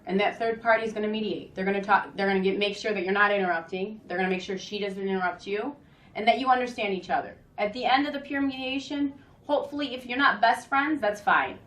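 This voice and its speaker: A woman acting as a patient, firm school counselor talks in a measured, coaxing manner. It is an amateur dramatization. The voice sits away from the microphone in an echoey room. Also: mid-range voice, a bit bright, close to an everyday voice. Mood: reassuring. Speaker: school staff member counseling a student. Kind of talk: amateur acted role-play dramatization. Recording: roomy echo, distant microphone.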